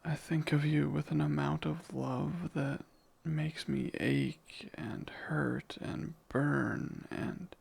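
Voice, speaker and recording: A man playing a jealous, devoted demon in an intimate ASMR romance roleplay, speaking ardently and deliberately in a breathy, gravelly voice. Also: fairly high pitch, exaggerated voice. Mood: adoring, yearning. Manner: slow, deliberate, ardent, possessive. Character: jealous, devoted male demon confessing love.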